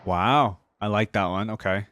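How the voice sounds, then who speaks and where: A man's voice: fairly low pitch, unhurried, over music. A man giving casual, musing reaction commentary on a game as the host.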